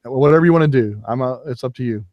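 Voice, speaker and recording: A man's voice: mid-range voice, quick pace, no echo, muffled recording. A man co-hosting a video call tutorial, speaking in a friendly, encouraging way. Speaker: co-host. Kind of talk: casual chat.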